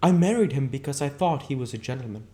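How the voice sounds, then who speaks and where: A man's voice: medium pitch, animated, fast, warm tone, pitched above the performer's own voice, lightly acted. A man voicing a scornful, bitter married woman in an audiobook, emphatic and indignant. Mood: disdainful. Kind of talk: audiobook character dialogue.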